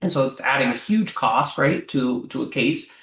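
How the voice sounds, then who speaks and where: A man speaking as an immigration lawyer, giving advice to clients off the cuff and often checking in with a quick "right?". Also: mid-range voice, expressive, fairly bright tone. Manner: talks off the cuff, ends many points with a questioning "right?"; frequent "um" fillers and restarts. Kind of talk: explanatory advice talk to clients. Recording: roomy echo.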